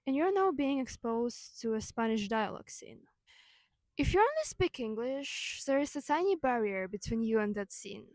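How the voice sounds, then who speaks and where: A woman's voice: fairly high pitch, animated, moderate pace, darkish tone, no echo. A young woman whose first language is Ukrainian, talking in a reflective, conversational way with fillers and self-corrections. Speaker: vlogger. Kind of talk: reflective personal monologue.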